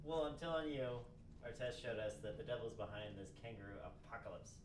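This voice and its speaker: A man's voice: medium pitch, brisk, voice pushed down, lightly acted. A man playing a sardonic, exasperated, skeptical scientist in an amateur radio play table read. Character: exasperated, skeptical, foul-mouthed male robotics scientist. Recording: roomy, distant mic with clear noise.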